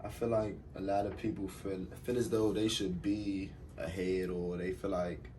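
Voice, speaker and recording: A man's voice: low voice, little pitch movement, normal speed, noisy background. A young man in his twenties giving laid-back, streetwise advice in casual chat. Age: 20s. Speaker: interviewee.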